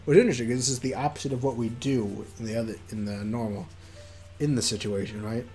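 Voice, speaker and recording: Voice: medium pitch, fairly even intonation, fast talker, darkish tone, soft background music. A streamer playing a visual novel, reading it aloud with goofy, joking asides.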